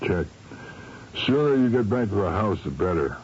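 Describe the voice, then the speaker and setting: A man's voice: fairly low pitch, big pitch swings, normal speed. An actor playing a cocky, cold-blooded hired gunman with smug, slangy tough talk in a 1970s radio drama. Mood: cool, assured. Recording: dull, hissy old radio recording.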